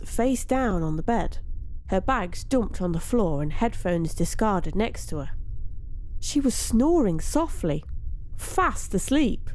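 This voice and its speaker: Expressive woman narrating a story, lively pitch. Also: rough recording. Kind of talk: dramatic first-person story narration.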